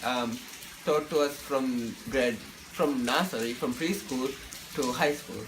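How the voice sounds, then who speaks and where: A man's voice: medium pitch, slow. A young man from the Maldives, a university student giving opinionated answers in a casual interview and repeating words while he thinks. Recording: noisy with frying sizzle behind.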